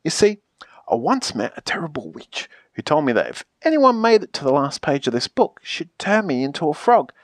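A woman voicing an exasperated, pleading character in a children's picture book, theatrical and emphatic with drawn-out pleas. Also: low-pitched, very animated, no room sound, studio quality, pitched below the performer's own voice. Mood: panicked.

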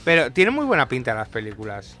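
A man joins a casual film podcast and playfully argues the other side. His voice has a tense, bright edge, with faint music underneath. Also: medium pitch, wildly swooping pitch, fast talker. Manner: playful, offers counterpoints. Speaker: podcast co-host.

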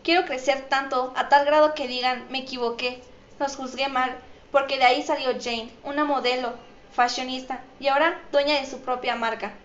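A woman with a high voice and a Mexican accent answers interview questions. The sound is echoey and somewhat dull, as if taped in a bare room. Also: steady tone, normal speed, a bit bright. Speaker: interview guest, model.

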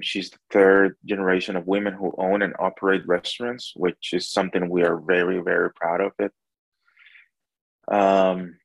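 A man's voice: low-pitched, vocal fry, husky, no echo, rough recording. A man from El Salvador with a Salvadoran accent, talking conversationally as an interview guest and often repeating words as he searches for phrasing. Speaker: interview guest, restaurant owner.

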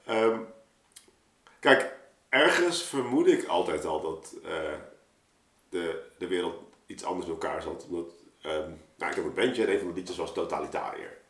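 Man, lowish voice, searching for words in casual reflective talk, roomy sound. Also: expressive, moderate pace, fairly bright tone. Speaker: musician in a podcast discussion.

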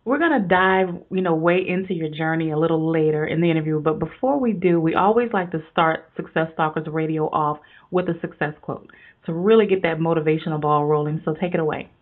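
A woman with a slightly low voice hosts a motivational interview. Her manner is enthusiastic and effusive, with the upbeat address of a radio presenter. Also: fairly quick, phone-quality sound. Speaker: podcast interview host.

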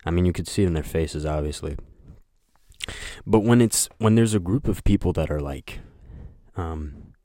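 A young man hosting a solo podcast, talking in a flat, monotone voice that rambles, with lots of hesitation and filler words. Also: deep voice, moderate pace, no echo, studio quality. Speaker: podcast host. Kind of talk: casual solo podcast chat.

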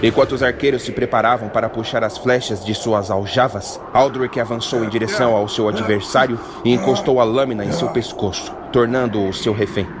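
A man narrating a fantasy audiodrama in a steady, dramatic storytelling manner. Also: mid-range voice, brisk, hardly any pauses, noisy background.

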